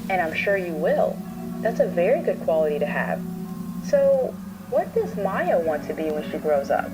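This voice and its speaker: A woman's voice: fairly low pitch, sing-song, normal speed, loud music behind the voice. A woman playing a composed, probing child therapist who records session notes in a scripted horror story. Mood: gentle, probing. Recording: distant playback with clear background noise.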